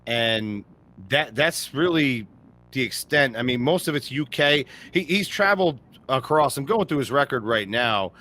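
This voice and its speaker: A man co-hosting a casual sports podcast, talking in an animated way and laughing often. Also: mid-range voice. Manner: animated, laughs often, breaks into quick impressions. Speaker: podcast co-host.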